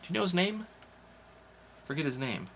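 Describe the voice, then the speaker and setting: Bright, forceful man hosting a podcast. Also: fairly high pitch, animated, moderate pace, husky. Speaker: podcast host. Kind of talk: casual podcast talk.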